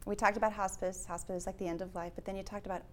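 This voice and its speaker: A woman's voice: medium pitch, flat delivery, very fast, slight background noise. A woman hosting an interview, asking reflective, chatty questions. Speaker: interview host. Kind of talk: casual interview conversation.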